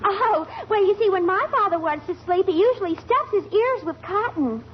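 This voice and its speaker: A woman's voice: very high voice, lively intonation, normal speed. An American actress playing an eager, gushing, oblivious teenage girl in a 1940s radio sitcom. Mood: helpful. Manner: gushing, earnest. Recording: muffled old radio recording, faint noise.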